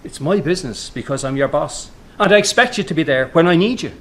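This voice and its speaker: A man's voice: fairly high pitch, lively intonation, quick pace, a bit bright. A man playing a weary, irritable middle-aged circus boss in a radio drama, speaking in a curt, exasperated way. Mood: accusing, irritated. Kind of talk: naturalistic radio drama acting.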